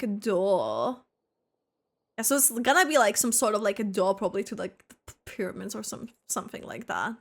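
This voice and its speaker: A woman streamer reacting casually to a video game, playfully thinking aloud. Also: on the high side. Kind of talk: casual playthrough reaction chat.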